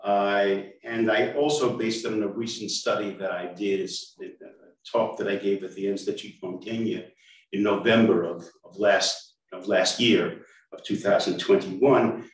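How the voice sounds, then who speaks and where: An older man with an American accent, a native English speaker, giving a slow academic talk and often restarting his words. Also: fairly low pitch, steady tone. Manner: slow, hesitant, repeats words. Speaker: panel speaker. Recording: echoey room, far from mic.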